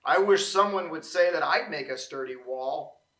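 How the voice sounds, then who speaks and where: Storybook role: little young tree, played by a man, wistful, eager, high-voiced, recorded in a roomy space. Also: moderate pace, fairly bright tone, close to an everyday voice. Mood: wistful, sad. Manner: emotional, exclaiming and sighing.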